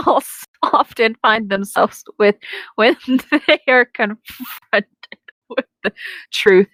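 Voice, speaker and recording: A woman's voice: fairly high pitch, fairly bright tone, no room sound, rough recording. A woman co-hosting a casual comic read-aloud, informal and giggly, often breaking off from the text to comment. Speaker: podcast co-host reading a story aloud.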